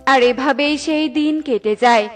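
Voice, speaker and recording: A woman's voice: sits high, normal speed, recorded from a distance, music in the background. A woman reading scripted narration for a children's cartoon story.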